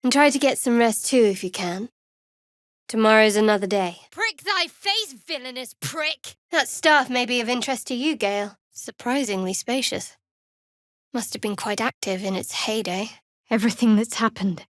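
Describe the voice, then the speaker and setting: A woman playing a guarded, sardonic young cleric of a dark goddess in a video game, speaking in a dry, measured, wry way. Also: on the high side, no room sound, natural voice. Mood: cool, measured, then alarmed, angry, then solemn, emotional. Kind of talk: video game character voice acting.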